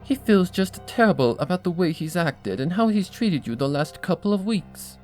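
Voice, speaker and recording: Storybook lady pony dressmaker, played by a woman: high-pitched, very animated, refined, gushing and theatrical. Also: normal speed, soft background music, lightly acted. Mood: gushing reassurance. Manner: theatrical, genteel, affectionate.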